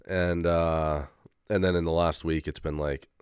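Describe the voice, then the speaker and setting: Young man, very deep voice, smooth and resonant, rambling casual talk, lots of fillers, swears casually, getting over a cold, dry close sound. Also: little pitch movement, measured pace, no echo. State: recovering from a head cold, occasional cough. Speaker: vlogger.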